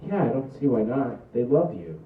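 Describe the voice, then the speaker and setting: A man's voice: mid-range voice, sing-song, moderate pace, close to an everyday voice. A man reading the part of an awkward, hesitant teenage boy at a comedy script table read. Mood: awkward, hopeful. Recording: muffled, echoey, far from the mic.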